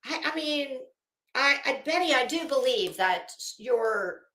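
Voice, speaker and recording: A woman's voice: high voice, lively intonation, slow pace, bright, forceful tone. A middle-aged woman delivering a mocking, theatrical monologue, rambling and sarcastic. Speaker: host. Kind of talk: mocking dramatic commentary monologue. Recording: echoey room.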